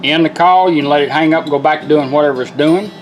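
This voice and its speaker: A man's voice: on the high side, quick pace, faint music behind, poor audio. A man giving an informal hands-on product tutorial in a folksy, step-by-step way. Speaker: product demonstrator.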